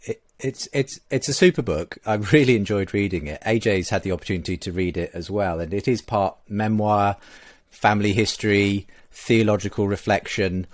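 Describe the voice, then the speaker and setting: A man with a very low voice hosts an interview. He is heard up close in a dry, dead-sounding recording, summing up the guest's book in a smooth, affirming way. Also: normal speed, dry recording. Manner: brief affirming interjections, then smooth summary. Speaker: host.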